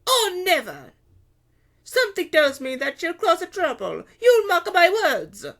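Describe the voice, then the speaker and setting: Shrill, booming scornful stall woman. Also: very animated, measured pace, fairly bright tone, exaggerated voice. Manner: booming, barking, haughty delivery.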